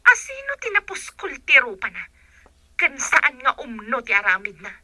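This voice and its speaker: Woman as betrayed wife, northern Filipino accent, accusing and combative, high and extremely sharp. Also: wildly swooping pitch, normal speed, full character voice. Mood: demanding. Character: wronged wife and mother, demanding, accusing, combative. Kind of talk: radio drama acting.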